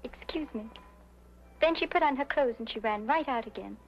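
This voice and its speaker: A woman's voice: a little high, moderate pace, soft background music, natural voice. An actress playing an earnest, obliging young showgirl singer in an old-time detective drama, telling things dramatically. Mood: earnest recounting. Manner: earnest, dramatic, gossipy recounting. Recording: muffled old radio-era recording.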